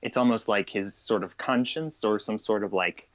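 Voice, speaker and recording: A man's voice: fairly low pitch, moderate pace. A tired man hosting a casual podcast, chatting in an agreeable, easygoing way with short replies. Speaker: podcast host.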